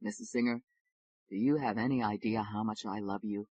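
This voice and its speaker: A woman voicing a modest, earnest young male lawyer, speaking in a quiet, measured way. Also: rumbling low, fairly even intonation, husky, no room sound, pitched below the performer's own voice.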